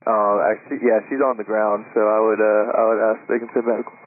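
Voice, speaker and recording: A man giving unscripted, halting traffic reports over an aviation radio. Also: on the low side, flat delivery. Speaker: pilot reporting on local radio frequency. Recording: tinny, noisy air traffic radio.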